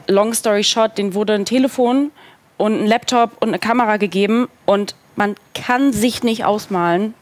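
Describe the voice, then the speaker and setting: Woman in her 30s, kinda high voice, casual interview answers, throws in english bits. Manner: informal, sprinkled with English phrases. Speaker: talk show guest, film distributor. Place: indoor talk studio with seated group. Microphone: headset.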